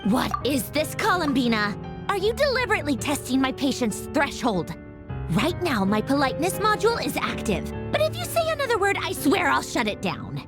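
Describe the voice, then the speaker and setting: A woman's voice: high-pitched, huge pitch swings, moderate pace, music in the background, exaggerated voice. A woman playing a haughty, sarcastic, easily irritated young woman inventor in an anime-style video game, with a dismissive, theatrical delivery.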